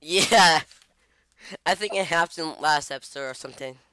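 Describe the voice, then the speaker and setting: Bright, playful podcast host. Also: mid-range voice, normal speed, clean tone, no room sound. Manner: playful, giggly. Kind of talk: casual group voice chat, teasing.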